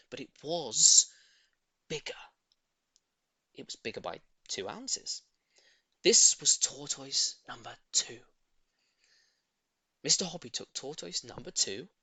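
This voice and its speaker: A man reading a children's story in an expressive, theatrical narrating style. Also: fairly high pitch, husky, no room sound. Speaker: audiobook reader.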